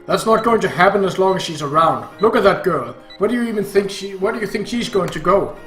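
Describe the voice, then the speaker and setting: A man voicing a harsh, bitter, worn-out father in an audiobook, gruff and blunt. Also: a little high, normal speed, fairly bright tone, echoey room, faint music behind, natural voice. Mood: angry. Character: harsh, bitter, exhausted father of a comatose girl.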